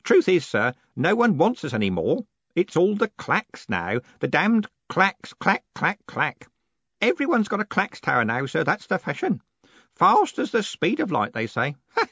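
Man putting on a doddering old clerk, high and quavery, swoops around, servile, lo-fi sound. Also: huge pitch swings, measured pace, fairly bright tone, breathy edge, dry recording, exaggerated voice. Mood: grumbling. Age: older. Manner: high, quavering, deferential, keeps saying sir. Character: fussy, doleful old male postal clerk. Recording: dull lo-fi recording.

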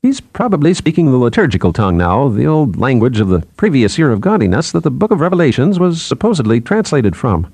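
Man reading as brisk, impatient psychologist, pitched up. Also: sing-song, darkish tone, slightly rough, no room sound. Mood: musing.

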